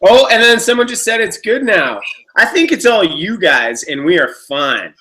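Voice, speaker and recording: A tired man co-hosting a live-stream talk show over a video call, quick and self-mocking. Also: high voice, big pitch swings, bright, forceful tone. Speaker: co-host. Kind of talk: casual live-stream chat.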